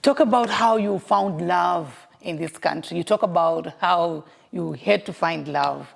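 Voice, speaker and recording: Slow gravelly woman host in reverb. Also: lowish voice. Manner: slow, encouraging interviewer with many affirming murmurs. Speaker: talk show host. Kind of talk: interview questions and backchannel. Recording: long hall reverb.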